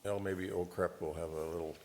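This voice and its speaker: A man chairing a public meeting, talking in a relaxed, conversational way with halting restarts. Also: low voice, slight background noise. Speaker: board chair.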